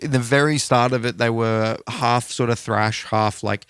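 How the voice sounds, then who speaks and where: A man co-hosting a podcast, chatting casually and giving animated opinions with some casual swearing. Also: mid-range voice, normal speed, clear, resonant tone, no echo, clean studio sound. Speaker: podcast co-host. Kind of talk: casual music chat.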